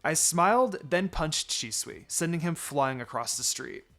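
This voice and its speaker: Animated higher-pitched man reading a story. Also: unhurried, gravelly. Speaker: fan fiction reader. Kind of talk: expressive first-person story narration.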